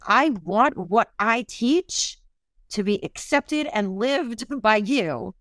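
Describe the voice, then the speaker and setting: A woman's voice: on the high side, lively intonation, slow pace, a bit bright, no echo. A woman in her fifties, a parenting coach and author, talking earnestly and reflectively in a podcast interview, with self-deprecating honesty.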